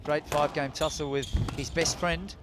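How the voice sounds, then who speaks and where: A man's voice: on the high side, fairly even intonation, moderate pace. A man co-commentating on a squash match, analysing the play in a chatty way. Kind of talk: sports commentary. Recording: loud arena crowd and noise behind.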